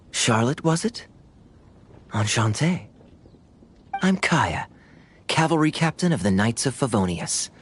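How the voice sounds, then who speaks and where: Suave cavalry captain, lively intonation. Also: male voice, mid-range voice, slight background noise. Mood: gracious. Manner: smooth, courteous, unhurried. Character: suave, good-humoured young male cavalry captain. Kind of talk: video game voice acting.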